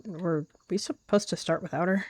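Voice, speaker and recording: A woman's voice: fairly low pitch, normal speed, darkish tone, husky, no echo. A woman voicing a cold, scheming young she-cat warrior in a voice roleplay, talking in a curt, dismissive way. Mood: cool.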